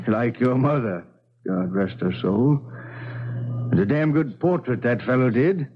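An actor playing a gruff, commanding old colonial father in a radio drama, halting and blustering. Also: medium pitch, animated. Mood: awkward bluster. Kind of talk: 1970s radio drama acting. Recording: dull 1970s radio recording.

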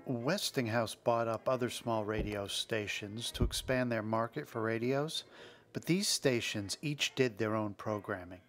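A man's voice: on the low side, measured pace, a bit bright, soft background music. A man hosting and narrating a history programme in a scripted documentary style. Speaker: podcast host and narrator.

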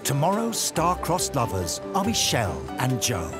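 A man's voice: mid-range voice, huge pitch swings, normal speed. A man with an English accent narrating a documentary in a wry, storytelling way. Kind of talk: scripted narration. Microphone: studio voice-over. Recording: voice-over over loud music. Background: music.

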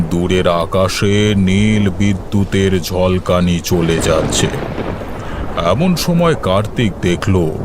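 A man's voice: low-pitched, noisy background. A man narrating a scripted horror story for a cartoon.

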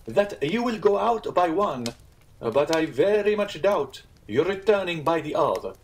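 A man's voice: fairly high pitch, big pitch swings, unhurried, voice pushed up. A man voicing a proud, easily piqued Italian innkeeper in a storybook, earnest and emphatic. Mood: grave, offended.

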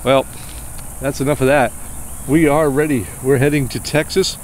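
A man in his forties vlogging outdoors from an RV campground, chatty and excitable, rambling with restarts. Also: mid-range voice, normal speed, a little hiss or hum. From New Hampshire. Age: 40s. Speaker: RV travel vlogger. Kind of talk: casual vlog talk to camera.

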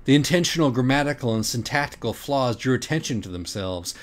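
A man reading a parody audiobook in a dramatic, deliberate, mock-earnest way. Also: mid-range voice, expressive. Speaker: audiobook reader. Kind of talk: dramatic scripted narration of a parody children's book.

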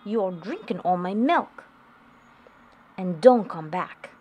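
Lady doing a cross bossy farm animal in a kids storybook, loud and scolding, slight background noise. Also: fairly low pitch, lively intonation, slow. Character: scornful farm animals shooing away an outcast duckling.

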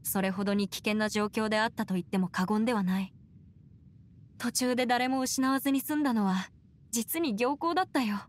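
A woman's voice: fairly high pitch, fairly quick, close to an everyday voice. A woman playing an earnest, caring young female advocate in an anime game, articulate, with formal phrasing. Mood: pleased, earnest.

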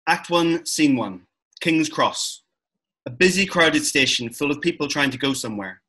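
A man with a husky voice reading stage directions as a narrator over a video call. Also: on the high side, expressive, normal speed, sharp tone.

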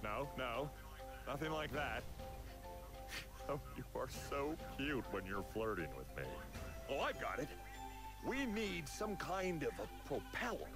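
Swaggering cartoon pilot with wildly swooping pitch. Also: male voice, sing-song, unhurried, long pauses, over music, low-quality recording. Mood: cocky, dim. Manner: boastful, swaggering. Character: cocky dim-witted male balloon pilot creature, boastful and flirty. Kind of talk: cartoon video game acting.

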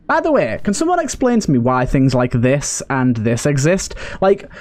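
A man's voice: medium pitch, very animated, moderate pace, a little mellow. A man giving casual let's play commentary, pleading with and exclaiming at the game characters as he talks. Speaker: gaming video host and player.

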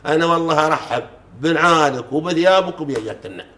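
A middle-aged man, a folk poet chanting verses in measured recitation during a casual sit-down talk in a living room, on a lapel mic. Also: fairly high pitch, expressive, pushed and bright. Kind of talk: reciting folk poetry in conversation. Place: living room sofa, indoors. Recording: roomy echo.

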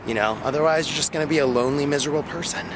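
A man's voice: on the high side, rapid-fire. A man in his thirties talking to a phone held at arm's length while walking in a park, chatty and rambling with many fillers and out of breath. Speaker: vlogger. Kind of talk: casual walking vlog. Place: outdoor park path. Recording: noisy outdoor phone recording.

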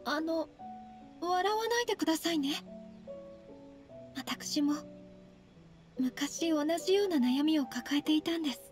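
A woman voicing a polite, earnest, slightly shy young noblewoman in a Japanese anime game, speaking gently and formally. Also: high-pitched, unhurried, pauses often. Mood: shy, resolved. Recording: soft game music behind.

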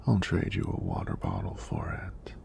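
A man playing a friendly, teasing EMT in a soft-spoken ASMR roleplay, with a deep, breathy, gravelly voice and an unhurried, reassuring manner. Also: huge pitch swings, full character voice. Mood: calm, attentive. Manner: unhurried, reassuring, lightly playful. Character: friendly, teasing young male EMT doing a screening.

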